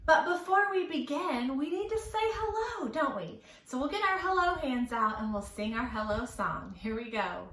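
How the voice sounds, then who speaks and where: A woman's voice: high voice, animated, normal speed, few pauses, fairly bright tone, roomy sound. A woman leading a children's storytime lesson, speaking or singing in an encouraging, playful way with careful, exaggerated enunciation for little ones. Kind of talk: children's lesson with songs and questions.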